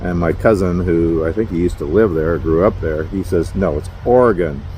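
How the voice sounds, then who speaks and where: Middle-aged minnesota guy rambling in his truck, lowish voice, bit of road hum behind. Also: moderate pace, mellow. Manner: rambling, self-deprecating, chuckles often. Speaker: truck driver vlogger. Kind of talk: casual talking-to-camera vlog. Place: parked truck at a highway rest area.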